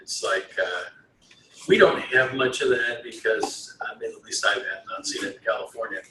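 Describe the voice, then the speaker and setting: A man's voice: mid-range voice, sing-song, normal speed, pushed and bright. A man teaching a law class, emphatic and repeating himself for stress. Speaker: law instructor. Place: lecture classroom. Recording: echoey room, distant mic, faint noise.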